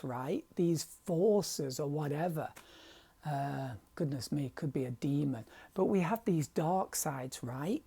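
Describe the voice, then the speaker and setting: A man's voice: a little high, gravelly, warm tone, slightly rough. A middle-aged English man with a dry voice, rambling hesitantly and self-deprecatingly in a confessional vlog about his painting. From England. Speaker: painter vlogger.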